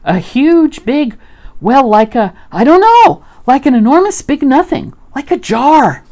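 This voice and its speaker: A woman voicing a small, timid young male piglet from a storybook, breathless, stammering and excitable. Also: high voice, unhurried, pitched above the performer's own voice, exaggerated voice. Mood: flustered.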